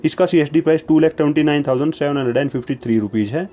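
A man narrating a voice-over, reading out long lists of prices in a steady, even, formulaic way. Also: a little high, rapid-fire.

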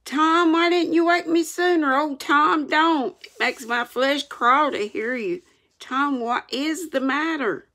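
Lady doing a worried little boy from a storybook, high pitched and frantic. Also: extremely slow, hardly any pauses, a bit bright, full character voice. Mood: alarmed, pleading. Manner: urgent, rustic dialect. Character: anxious young boy, alarmed and pleading.

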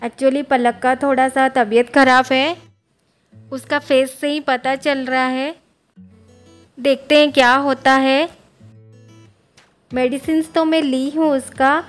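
A woman's voice: high voice, fairly even intonation, unhurried, long pauses, soft background music. A woman in her thirties chatting casually in a family vlog, mixing in English words, filmed on a phone held at arm's length. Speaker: family vlogger. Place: home and on the road, train station.

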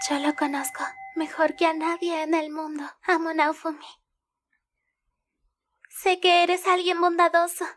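Anime fan dub role: devoted young beast-girl, played by a woman, very high voice, Latin American Spanish accent, earnest, pleading, gentle, faint music underneath. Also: level tone, unhurried, pauses often. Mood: tender, resolute.

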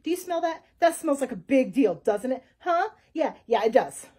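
Woman doing a brash male dodo baker, storybook figure, loud and shrill, talks over everyone, pushy. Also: sing-song, normal speed, breathy edge, heavily acted.

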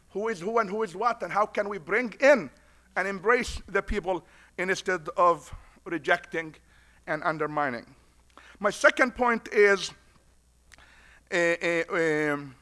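A man's voice: sits high, pushed and bright. A middle-aged man with a foreign accent, an imam giving a conference speech at a podium, preacher-like and repeating lines for emphasis. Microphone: podium mic.

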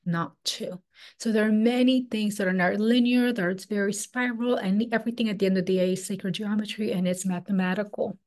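A woman's voice: medium pitch, steady tone, brisk, a little mellow. A woman, teaching a spiritual workshop over a video call in a loose, flowing way with many "like"s. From Peru. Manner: casual, flowing, filler-heavy with frequent "like" and "um". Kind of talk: online workshop presentation. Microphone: webcam on video call.

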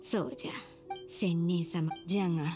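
A woman voicing a gentle elderly woman in an anime game, wistful, with old-fashioned grandmotherly phrasing. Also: lowish voice, steady tone, moderate pace, music in the background, natural voice. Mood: remorseful.

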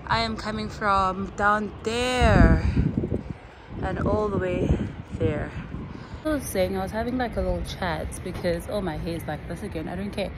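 A woman's voice: medium pitch, normal speed. A young woman from Namibia in her twenties, chatting casually to her phone in a selfie vlog, rambling with plenty of fillers. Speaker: vlogger. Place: outdoor rooftop terrace, then indoors. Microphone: phone held at arm's length. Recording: phone audio with background noise.

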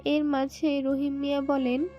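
A woman's voice: high-pitched, monotone, unhurried. A woman reading scripted dramatic narration for an audio story. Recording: muffled band-limited sound, music bed.

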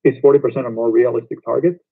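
A man's voice: medium pitch, steady tone, rapid-fire, creaky, breathy edge. A man moderating an earnings call, reading out submitted questions from investors. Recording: muffled, lo-fi conference call audio.

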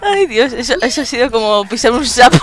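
A woman with an accent from Spain chatting excitedly while playing a shooter game with a friend, laughing and swearing often. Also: a little high, brisk. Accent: Spanish from Spain. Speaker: gaming channel host. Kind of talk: casual gameplay chat with a friend.

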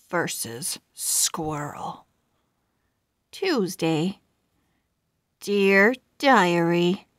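A woman reading a children's book aloud as a storyteller, in a deliberate, expressive manner with careful enunciation. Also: medium pitch, dry recording, clean studio sound.

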